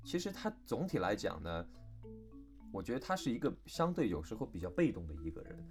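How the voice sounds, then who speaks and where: A man's voice: on the low side, very animated, brisk, a little mellow, faint music behind. A man speaking as a voice actor guest in a casual panel interview, reflective and emphatic as he explains his points at length.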